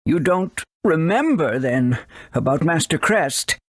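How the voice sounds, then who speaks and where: A man voicing a fussy, caring elderly owl with a raspy voice and theatrical delivery in a video game. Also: fairly high pitch, expressive, takes it slowly, dry recording, studio quality, heavily acted. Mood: concerned. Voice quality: raspy, creaky.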